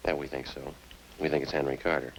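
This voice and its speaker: A man's voice: rumbling low, little pitch movement, fast talker. An actor playing a methodical male police sergeant in a 1950s radio crime drama, clipped, understated and matter-of-fact. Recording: noisy old-time radio recording.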